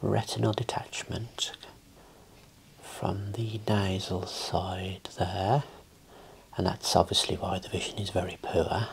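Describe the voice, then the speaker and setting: Whispering man, low and creaky, ASMR. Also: takes it slowly, slight background noise. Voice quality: soft, mostly whispered. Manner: calm, instructive, reassuring. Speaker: optometrist in an ASMR roleplay. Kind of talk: ASMR roleplay of an eye examination.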